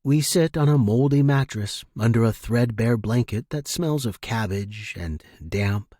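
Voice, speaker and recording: A man reading a dramatic first-person story in measured, deliberate short clauses. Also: medium pitch, warm tone, no room sound, studio quality. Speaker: narrator. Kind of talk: dramatic scripted fiction narration, first-person present tense.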